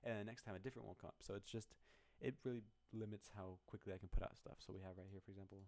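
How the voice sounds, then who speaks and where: Fast-talking man with a low voice. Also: fairly even intonation, darkish tone. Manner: rambling, self-deprecating, many restarts. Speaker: musician explaining his song. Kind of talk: casual walkthrough of a project file.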